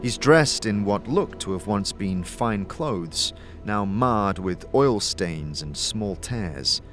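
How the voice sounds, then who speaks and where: A man's voice: lowish voice, lively intonation, slow pace, a bit bright. A man narrating a fantasy audio drama in a scripted, storytelling style. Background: music.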